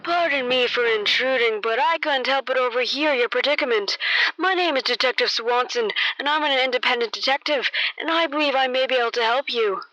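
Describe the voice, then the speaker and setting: A woman's voice: high voice, brisk, sharp tone, no echo, dull audio, voice pushed up. A woman voicing a shady, paranoid washed-up male detective in an amateur radio play, talking like a conspiratorial old-time gumshoe. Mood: smooth, insistent.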